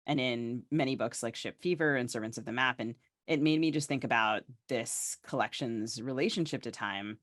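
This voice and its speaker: A man's voice: mid-range voice, normal speed, few pauses, fairly bright tone, no room sound, clean studio sound. A man co-hosting a literary podcast, putting questions in a conversational interview style. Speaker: podcast co-host.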